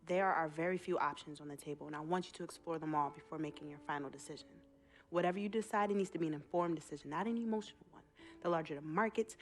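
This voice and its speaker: An actress playing an athlete's bossy, protective twin sister and manager in a video game, no-nonsense and emphatic. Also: female voice, on the low side, natural voice. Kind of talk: cinematic sports game dialogue.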